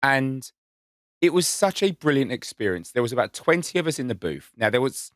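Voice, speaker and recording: Lively, enthusiastic man co-hosting a podcast. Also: mid-range voice, fairly quick, dry recording, clean studio sound. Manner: enthusiastic, self-mocking storyteller. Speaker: podcast co-host. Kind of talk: casual chat.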